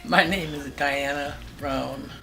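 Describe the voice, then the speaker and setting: A woman's voice: low-pitched, fairly even intonation, slow pace, vocal fry. A woman in her sixties from California, looking back on her family life and listing dates and names one after another. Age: 60s. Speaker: interviewee recalling family life. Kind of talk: personal reminiscence. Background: music.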